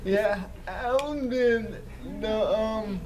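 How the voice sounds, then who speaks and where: A man hosting an interview, asking questions with some halting restarts. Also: high-pitched, crowd noise, low-quality recording. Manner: asks questions with hesitant restarts. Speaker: interviewer, show host.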